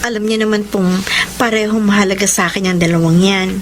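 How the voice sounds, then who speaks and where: A Filipino actress playing an emotional, forgiving young older sister in a radio drama, mixing in some English. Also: female voice, medium pitch, fast talker, a little hiss or hum, close to an everyday voice. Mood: content, affectionate. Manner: emotional, expressive delivery with some English mixed in. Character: heartbroken but forgiving older sister, young, warm and playful. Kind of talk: Tagalog radio drama acting and narration. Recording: loud music bed under the voice.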